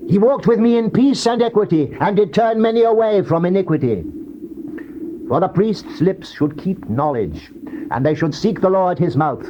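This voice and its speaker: A man's voice: sits high, a little mellow. A man giving a solemn, theatrical dramatized reading of the King James Bible in a declamatory manner. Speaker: scripture narrator. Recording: dull, noisy old cassette tape.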